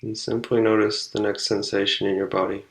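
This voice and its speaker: Low, gravelly man guiding meditation. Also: poor audio. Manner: calm, unhurried instruction with long pauses. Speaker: meditation guide. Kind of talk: guided meditation.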